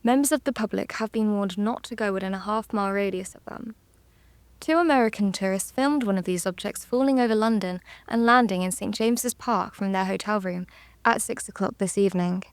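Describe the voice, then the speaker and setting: A woman's voice: medium pitch, fairly quick, clean tone, no room sound, lightly acted. Dry-sounding young woman as news presenter. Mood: composed, grave. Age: young adult. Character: composed female evening news presenter. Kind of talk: scripted news reading in drama.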